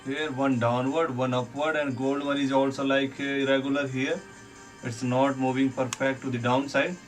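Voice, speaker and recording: A man's voice: medium pitch, little pitch movement, normal speed. A man on a live trading stream, talking viewers through candlestick charts and reading out chat comments. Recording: distant mic with faint music behind.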